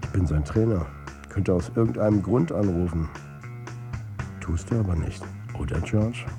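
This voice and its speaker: A man's voice: low voice, moderate pace, music in the background. An actor playing a troubled football coach and family man, narrating his own story in a confiding way in a radio drama. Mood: brooding, subdued. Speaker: narrator and lead character.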